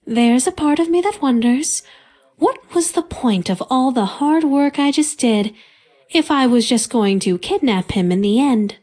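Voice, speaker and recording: A high-pitched, unhurried young woman in a video game cutscene. She is lovesick and obsessive, confessing in a dramatic monologue that swings widely in pitch. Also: expressive, darkish tone. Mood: calm, wistful, scheming. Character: lovesick, obsessive young woman who kidnaps her crush.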